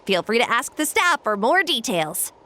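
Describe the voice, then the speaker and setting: A woman's voice: on the high side, big pitch swings, moderate pace, noisy background. An actress voicing a knowledgeable woman who oversees an arena in a video game, explaining things in a matter-of-fact way.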